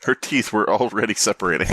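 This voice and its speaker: A man's voice: lowish voice, quick pace, a bit bright, no room sound. A man co-hosting a comedy podcast, silly and laughing often, tossing in goofy bits. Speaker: podcast co-host. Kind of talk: casual comedic chat.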